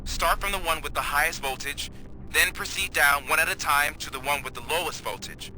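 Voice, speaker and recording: An actor playing an eager, nervous police officer in a video game, earnest and a little hesitant. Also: male voice, on the high side, fairly quick, sharp tone, poor audio. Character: eager, nervous male police officer guiding his partner. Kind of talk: video game cutscene acting.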